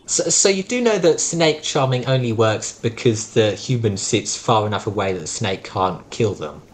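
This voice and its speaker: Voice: mid-range voice, expressive, moderate pace. A podcast co-host who lectures sarcastically in a casual debate.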